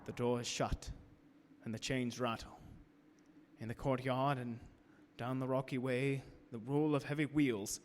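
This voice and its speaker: Gothic radio play: frightened young male captive played by a man, intense, theatrical, mid-pitched, big hall reverb. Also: steady tone, measured pace, close to an everyday voice. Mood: tense. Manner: intense, theatrical, breaking into cries. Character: terrified young man, captive in a castle, writing his journal. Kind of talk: dramatic radio horror acting. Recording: reverberant hall, faint background noise.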